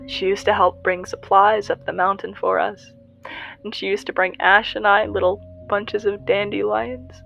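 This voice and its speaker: A woman playing a young female survivor broadcasting in a podcast-style audio drama, confiding and emotionally raw, with halting delivery. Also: medium pitch, flat delivery, creaky, a bit bright, muffled recording, soft background music. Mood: anguished, tearful. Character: young female survivor and pirate radio broadcaster, grieving, defiant.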